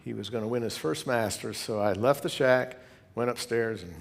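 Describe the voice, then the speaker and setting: A man's voice: medium pitch, moderate pace, gravelly. Man giving an echoing, informal club talk. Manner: conversational, rambling with asides. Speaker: club member giving a presentation. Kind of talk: informal slide talk to hobby club members. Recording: long hall reverb.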